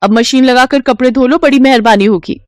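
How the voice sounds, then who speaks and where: A woman's voice: high-pitched, fairly quick, a little mellow, no room sound, studio quality, pitched above the performer's own voice, close to an everyday voice. A woman voicing a spiteful, bossy daughter-in-law who complains sharply in an audio story. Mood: scolding. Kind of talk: Hindi audio story dialogue.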